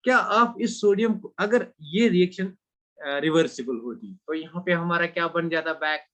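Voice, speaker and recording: A man's voice: fairly high pitch, a bit bright. A man teaching an exam-prep chemistry lecture, switching between Hindi and English and quizzing his students. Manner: mixes Hindi and English, asks students questions; slow, deliberate English phrases, rapid Hindi stretches. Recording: dull lo-fi recording.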